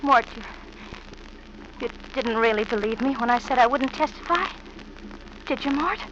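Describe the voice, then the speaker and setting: An actress playing a spiteful, taunting wife in an old radio thriller, with pointed, needling, dramatic delivery. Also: female voice, fairly high pitch, normal speed, a bit bright, lightly acted. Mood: fearful, commanding. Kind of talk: 1940s-50s radio thriller acting. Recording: muffled, noisy vintage radio recording.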